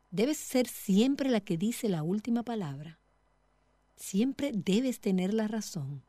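A middle-aged woman giving a devotional teaching talk as a Bible teacher, speaking in a measured, earnest way directly to the listener. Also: medium pitch, warm tone, dry recording.

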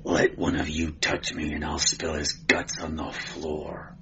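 A man voicing a contemptuous, menacing barbarian warrior with a quiet growl in an audiobook story. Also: flat delivery, unhurried, creaky, lo-fi sound, background noise, exaggerated voice. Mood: cold contempt. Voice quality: low growl.

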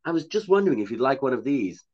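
A laid-back young male student speaks up brashly with a lively pitch, as a man reads him. The audio is dull and lo-fi. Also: quick pace. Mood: polite.

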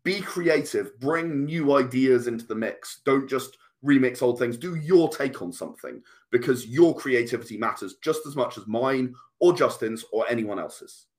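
A man's voice: medium pitch, lively intonation, moderate pace, hardly any pauses. A man in his late thirties, chatting casually as a podcast guest in an earnest, emphatic way, repeating phrases for stress. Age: late 30s. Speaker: podcast guest, comic and game creator. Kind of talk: casual conversational interview.

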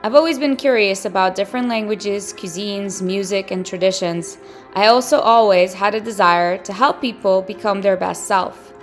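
A middle-aged woman, originally from a former Soviet republic, hosting a podcast with a clear, steady delivery. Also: medium pitch, normal speed, faint music behind. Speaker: podcast host. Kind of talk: scripted intro, then interview.

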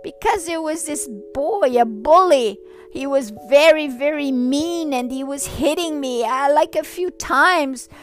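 Figure: little schoolboy, done by a woman. Pitch: very high. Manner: eager, childlike. Pace: slow. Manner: eager, childlike, breathless telling. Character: cheerful little schoolboy, excited and chatty.

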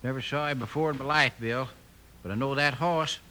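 A man's voice: medium pitch, a little fast, natural voice. A man playing a mischievous storekeeper in his eighties in a 1950s radio Western, talking in a folksy, rambling way. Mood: plain-spoken. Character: cackling old storekeeper prankster of eighty-three. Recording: noisy old radio broadcast.

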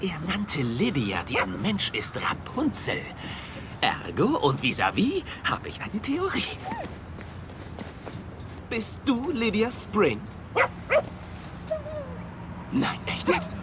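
An actor playing an eccentric, overconfident detective in a dubbed TV comedy, theatrical and rambling, with dramatic pauses. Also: male voice, high-pitched, wildly swooping pitch, noisy background, heavily acted. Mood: excited, suspicious. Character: eccentric, excitable, overconfident male holistic detective. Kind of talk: German-dubbed TV comedy acting.

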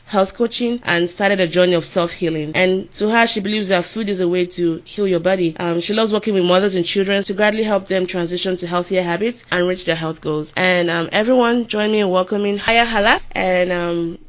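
A podcast host talks casually and rambles, full of ums and restarts. The voice sits at middle pitch. The audio is dull and lo-fi. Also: a little fast, few pauses. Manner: rambling, filler-heavy, many restarts. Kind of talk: casual interview chat.

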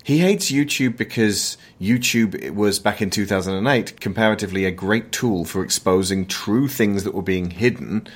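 Man, low voice, podcast host, conversational film commentary, emphatic, opinionated, halting phrasing. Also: husky.

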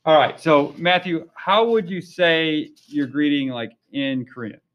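Curious male interviewer, slightly high voice. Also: a little slow, slight background noise. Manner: friendly, curious, many fillers. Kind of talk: casual interview. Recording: dull lo-fi recording.

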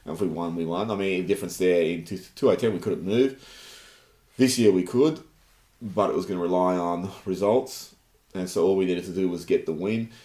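Australian man, deep voice, blunt, swearing, rambling sports chat, roomy echo. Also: normal speed, dark tone. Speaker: podcast co-host.

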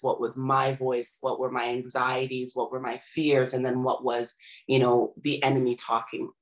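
A woman, a little raspy and hoarse from a cold, telling her personal story in an earnest, reflective way as a podcast guest. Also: rumbling low, poor audio. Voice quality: slightly raspy. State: recovering from a cold, slightly hoarse. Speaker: podcast guest, empowerment coach and holistic healer.

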